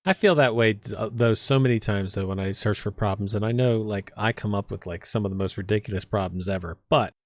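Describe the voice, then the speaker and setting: Relaxed male podcast host, dry sound. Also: on the low side, a little fast, hardly any pauses, no echo. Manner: relaxed, friendly, says dude. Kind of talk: casual interview chat.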